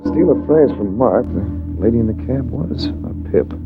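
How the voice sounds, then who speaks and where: An actor playing a suave, wisecracking adventurer who narrates in a wry, urbane American way, in 1940s radio style. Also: male voice, medium pitch, expressive, normal speed, close to an everyday voice. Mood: wry narration. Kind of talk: 1940s radio acting, hard-boiled narration. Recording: dull vintage radio recording with music.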